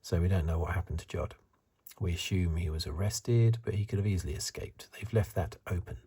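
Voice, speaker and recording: A man giving soft-spoken, half-whispered ASMR commentary in a husky, breathy voice, musing and rambling as he goes. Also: low voice, fairly quick. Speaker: ASMR reviewer.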